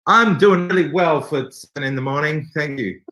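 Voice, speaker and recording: A man chatting casually as an interview guest, explaining haltingly with wry good humour. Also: on the high side, sharp tone. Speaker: interview guest, theatre company member.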